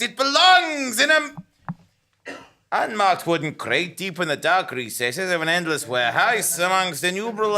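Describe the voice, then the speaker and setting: An actor playing a manic, power-hungry clerk turned bumbling villain, declaiming grandly in a comic radio play. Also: male voice, sits high, pushed and bright, full character voice. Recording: music behind, live theatre stage.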